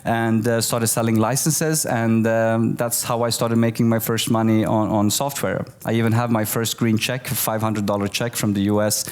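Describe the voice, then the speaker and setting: Low-voiced man giving a conference talk. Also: normal speed, few pauses, smooth. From Bosnia. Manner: relaxed, many fillers and restarts. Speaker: keynote speaker. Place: office event space with audience.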